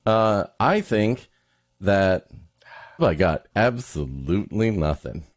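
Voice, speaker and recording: A man's voice: on the low side, lively intonation, slow pace, no room sound, studio quality. A man hosting a podcast, trading wry, joking, casual banter. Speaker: podcast host.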